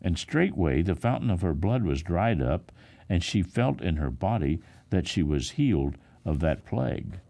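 A man, a pastor, reading the Bible aloud in a solemn, dramatic style with measured, expressive emphasis. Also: low-pitched, creaky.

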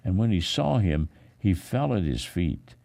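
A man, a pastor, reading the Bible aloud in a solemn, dramatic style with measured, expressive emphasis. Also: sits low, vocal fry.

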